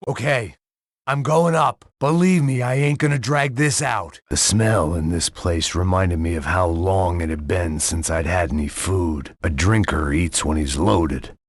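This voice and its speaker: A gravelly, sardonic middle-aged bodyguard from a noir video game, tired of it all and wisecracking through the gunfire. The voice is very low and husky, close and dry, with no room around it. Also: male voice, unhurried, no echo. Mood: tense terse. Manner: dry wisecracks, bleak inner monologue. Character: world-weary middle-aged male bodyguard, ex-cop.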